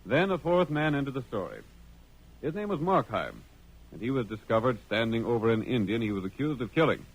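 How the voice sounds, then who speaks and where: A man's voice: medium pitch, sing-song, a little fast, breathy edge, poor audio. An American man narrating a radio western as announcer in a scripted old-time style.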